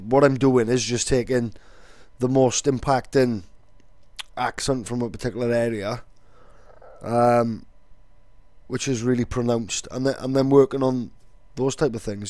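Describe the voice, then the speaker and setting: A man's voice: medium pitch, a little mellow, dry recording. A man with a Welsh accent, explaining things casually as an online video creator.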